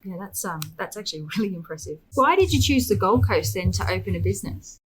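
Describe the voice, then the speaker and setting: A woman's voice: mid-range voice, lively intonation, quick pace, breathy edge, rough recording. A woman hosting a podcast, chatting casually as she interviews a guest.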